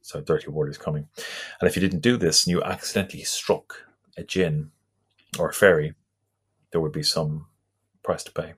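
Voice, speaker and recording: A guest talking about folklore in a casual conversation, in a halting, thoughtful way with many restarts. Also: rumbling low, fairly even intonation, husky.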